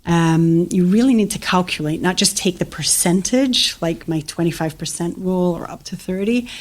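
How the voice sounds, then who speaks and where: Guest expert: woman, Scottish-born, American accent, lower pitch, relaxed explainer. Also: moderate pace. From Scotland. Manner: friendly, explanatory, casual fillers. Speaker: financial planner guest. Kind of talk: conversational interview.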